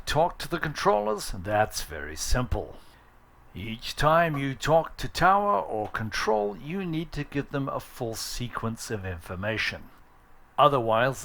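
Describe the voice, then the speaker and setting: Man, tutorial presenter, plain-spoken, instructive, casual scripted narration, mid-pitched. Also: a bit bright. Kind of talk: casual scripted narration for a flight sim how-to.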